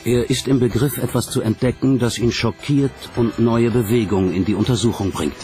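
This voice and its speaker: A man's voice: mid-range voice, fairly even intonation, moderate pace, over music. A man reading scripted documentary narration as the narrator.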